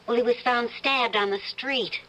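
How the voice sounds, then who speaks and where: A woman playing a judge's trusting, caring wife in old-time radio drama dialogue, conversational and gently expressive. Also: fairly high pitch, normal speed, slight background noise, poor audio. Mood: newsy.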